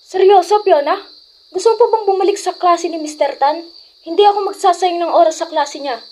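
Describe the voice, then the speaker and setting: A woman's voice: very high-pitched, a little fast, a little mellow, a little hiss or hum. A woman playing a bold, goading teenage schoolgirl who leads friends astray in an amateur radio drama. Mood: taunting.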